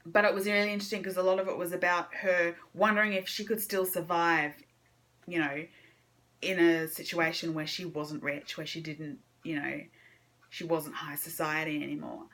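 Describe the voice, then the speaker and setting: A woman's voice: lowish voice, flat delivery, normal speed, fairly bright tone. A woman giving a casual book vlog chat, informal and hesitant as she thinks aloud. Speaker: book vlogger. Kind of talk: casual monthly reading wrap-up chat.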